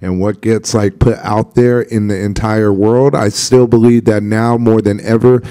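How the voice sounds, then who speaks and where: Panel guy, mellow warm voice, hesitant, lots of um and like. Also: fairly low pitch, fairly even intonation, measured pace, smooth. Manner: reflective, hesitant, many fillers. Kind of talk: panel discussion.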